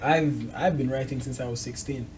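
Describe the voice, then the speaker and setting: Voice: mid-range voice, moderate pace, a loud crowd, rough recording. A show host conducting a casual, conversational interview, asking questions and sharing personal thoughts.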